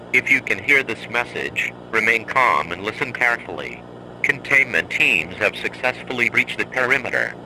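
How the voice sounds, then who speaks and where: A man playing a stern, composed emergency announcer in a horror drama, giving measured, clipped instructions as a scripted broadcast. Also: fairly low pitch, background noise.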